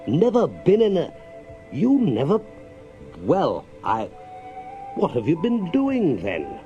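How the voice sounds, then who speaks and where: A man voicing a brisk, friendly, excitable water rat in a children's storybook, with hearty, chatty gentlemanly talk. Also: on the high side, dull audio, soft background music, pitched above the performer's own voice, exaggerated voice. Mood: incredulous. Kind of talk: children's storybook character reading.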